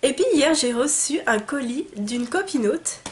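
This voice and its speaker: Young woman vlogger, slightly high voice, stuffy nose from a cold, bubbly and chatty with lots of fillers. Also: lively intonation, a little slow, a little hiss or hum. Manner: chatty and enthusiastic, many fillers and restarts. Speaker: beauty vlogger. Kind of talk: casual unboxing chat to camera.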